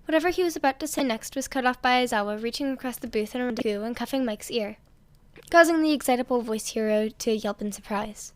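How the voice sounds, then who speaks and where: A woman narrating fan fiction as an amateur audiobook reader, in an expressive storytelling style. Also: a little high, fast talker.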